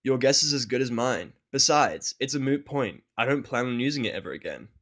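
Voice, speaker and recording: A sullen human outcast, played by a man, at a middle pitch. He is sarcastic and gruff, grudging with any affection, in an audio drama. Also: animated, brisk, a bit bright. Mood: matter-of-fact. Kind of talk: audio drama of fan fiction.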